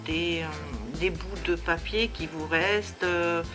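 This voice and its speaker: Woman with a head cold, crafting tutorial host; slow, rambling, full of fillers, music behind. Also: fairly low pitch. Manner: chatty, many hesitations and fillers. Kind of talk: casual crafting demonstration.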